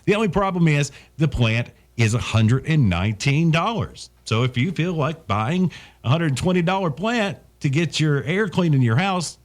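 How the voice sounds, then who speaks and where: Animated male country radio DJ. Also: on the high side, normal speed. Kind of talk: casual music radio presenting. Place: radio studio.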